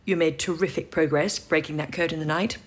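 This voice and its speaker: An actress playing a kind, practical wartime codebreaker in an audio drama, supportive and gently wry. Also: female voice, sits low, steady tone, brisk. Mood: kind, reassuring.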